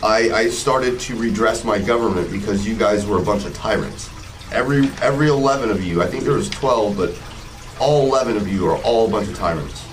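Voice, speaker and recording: Combative American man in his forties, noisy recording. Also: on the low side. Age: 40s. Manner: confrontational, sarcastic, swearing. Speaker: video blogger, police auditor. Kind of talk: casual narration over footage.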